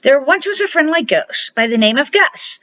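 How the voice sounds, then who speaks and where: A middle-aged or older woman, a grandmother reading a children's storybook aloud in a deliberate, expressive amateur way. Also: sits high. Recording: dull lo-fi home recording.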